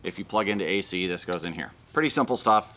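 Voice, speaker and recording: A man's voice: low voice, fast, background noise. A man giving casual, matter-of-fact unboxing commentary, explaining things as a vlog co-host.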